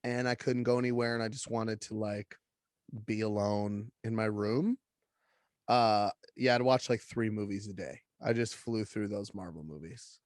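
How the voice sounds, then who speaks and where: A man's voice: on the low side, steady tone, normal speed, no echo, clean studio sound. A man co-hosting a podcast in casual chat, playful and mock-defensive, often slipping into joke voices. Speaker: podcast co-host.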